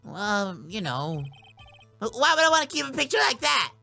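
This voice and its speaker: High, creaky, nervous little boy. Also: sing-song, normal speed, bright, forceful tone, faint music behind, heavily acted. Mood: nervous, evasive. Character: young boy witness, nervous, defensive, stammering.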